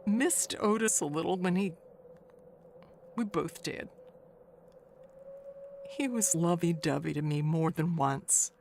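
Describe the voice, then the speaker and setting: Slow, halting, nervous neighbor woman, acted. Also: on the low side, vocal fry, a little hiss or hum. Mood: wistful gossip. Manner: halting, rambling, trailing into hushed musing. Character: Agnes, chatty, nervous, wistful lonely neighbor woman. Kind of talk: dramatic monologue in an audio mystery play.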